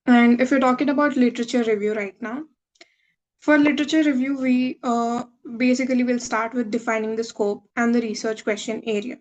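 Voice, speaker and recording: Woman from India, twenties, fairly high voice, steady slide presentation, video call. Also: a little fast, muffled recording. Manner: steady, explanatory, with filler uhs. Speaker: guest speaker, medical student. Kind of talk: webinar presentation reading slides.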